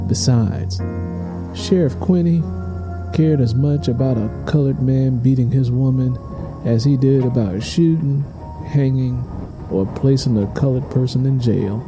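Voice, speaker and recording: A man reading scripted, dramatic narration for an audio drama. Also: medium pitch. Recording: music behind the voice. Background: music.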